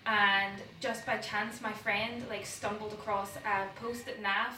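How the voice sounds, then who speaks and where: Bright Northern Irish woman, off-mic. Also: mid-range voice, level tone, unhurried, distant mic, slight background noise. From Northern Ireland. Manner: storytelling, acts out past dialogue. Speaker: salon manager. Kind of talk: personal story in an interview. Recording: roomy, away from the mic.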